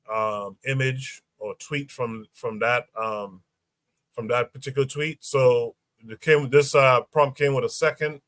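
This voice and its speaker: A man hosting a livestream podcast panel who reads posts aloud and pauses often with fillers. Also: mid-range voice, a bit bright, dry recording. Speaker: podcast host.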